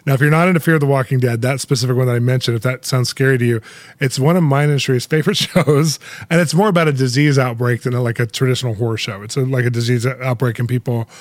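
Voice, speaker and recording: A man hosting a podcast interview, chatty and upbeat, with quick laughs, in a studio. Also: medium pitch, fairly even intonation, no echo. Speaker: podcast host. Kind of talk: casual interview chat.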